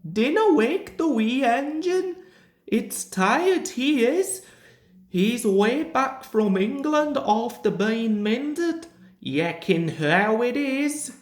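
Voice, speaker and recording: A woman's voice: on the high side, expressive, drawn-out words, a little mellow, pitched above the performer's own voice. A woman voicing a proud male steam engine from a storybook, with an emphatic, folksy Scottish dialect. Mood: hushing. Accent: Scottish dialect, put on. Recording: roomy, echoing home recording.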